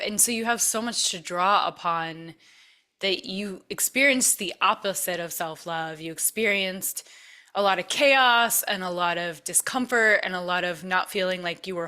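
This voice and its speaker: A woman's voice: medium pitch, sharp tone. A woman hosting a podcast interview, reflecting back on her guest's story in an affirming, emphatic way, often repeating phrases for effect. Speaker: podcast host.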